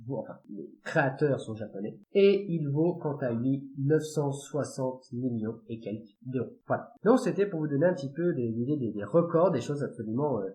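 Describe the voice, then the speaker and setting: A man reads his chess segment to the panel in an even, mid-pitched voice. He moves methodically through his points and closes each one neatly. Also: rough recording. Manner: measured, wraps points with "voilà". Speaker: chess columnist. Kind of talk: presenting a scripted segment.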